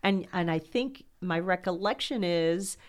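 A woman's voice: on the low side, measured pace. A woman interviewing a college graduate, asking questions in an encouraging way.

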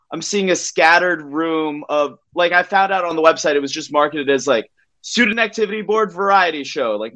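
Rambling young-sounding male storyteller, slightly high-pitched. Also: sharp tone. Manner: rambling, halting, repeats himself, acts out exchanges. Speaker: guest comedian. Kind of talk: casual podcast storytelling.

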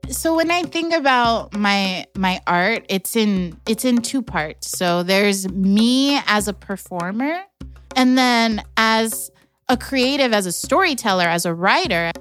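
A young adult woman from Los Angeles telling her family story in a slow, reflective way, with careful restarts. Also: medium pitch, lively intonation, fairly bright tone, soft background music. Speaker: storyteller, artist and organizer. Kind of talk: personal storytelling.

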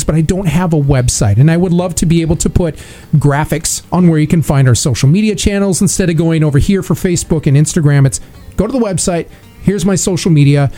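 Upbeat radio host with a mellow voice. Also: male voice, a little high. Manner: eager, repeats and restarts words. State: dry voice, clearing throat. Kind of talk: live radio interview.

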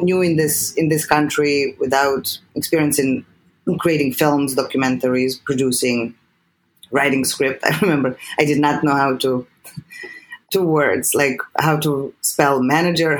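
A woman from Serbia speaks with a Serbian accent. She talks in an animated, earnest way and often repeats or restarts words as she thinks. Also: a bass voice, unhurried, poor audio. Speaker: guest filmmaker. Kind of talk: earnest interview talk.

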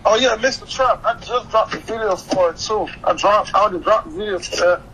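A man's voice: sits high, brisk, a bit bright. A young man from Haiti and Florida chatting casually as an interview guest, slangy and repeating his phrases. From Haiti, moved to the US at eleven; Florida. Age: young adult. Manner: slangy, repeats phrases, often says "you know what I'm saying". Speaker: interview guest, hip-hop artist. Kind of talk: casual chat. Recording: clearly noisy background ambience.

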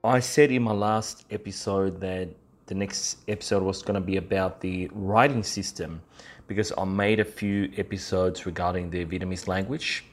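Low-voiced man, informal podcast host. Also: steady tone, moderate pace. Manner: informal, conversational, peppers talk with "you know" and "right?". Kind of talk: casual explainer podcast monologue.

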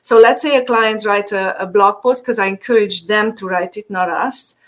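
A woman's voice: medium pitch, normal speed, fairly bright tone. A woman from Transylvania with a Hungarian accent, a marketing guest chatting in an interview, with frequent fillers, restarts and easy laughter. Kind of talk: casual interview chat.

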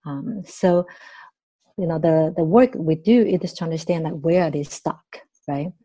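A woman's voice: sits low, normal speed, warm tone, husky. A woman, speaking as a podcast guest and therapist in training, thoughtful and full of fillers and "right?" tags. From Taiwan. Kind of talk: podcast interview.

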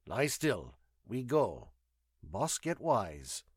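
A man voicing a gruff, sly winged alien pilot who speaks in terse, broken English bursts for an audio story. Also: on the low side, huge pitch swings, extremely slow, dry recording, clean studio sound, heavily acted. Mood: commanding.

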